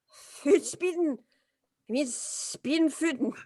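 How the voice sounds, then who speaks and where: A woman's voice: high voice, slow, dark tone, a little hiss or hum. A woman playing a tipsy, cantankerous older woman in a drama table read, blunt and indignant with muddled words. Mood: muddled, dry.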